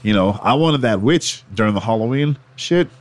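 A man co-hosting a casual esports podcast, cursing freely and laughing through animated banter. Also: mid-range voice, normal speed. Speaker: podcast co-host.

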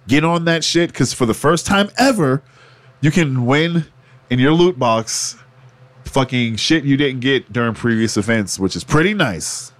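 A man co-hosting a casual esports podcast, cursing freely and laughing through animated banter. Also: medium pitch, measured pace. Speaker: podcast co-host.

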